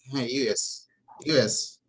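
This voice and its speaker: A man's voice: mid-range voice, slow, fairly bright tone, poor audio. Terse Bangladeshi-accented man. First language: Bengali. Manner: terse, clipped broken phrases. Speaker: digital marketing consultant. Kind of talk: business call. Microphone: video call.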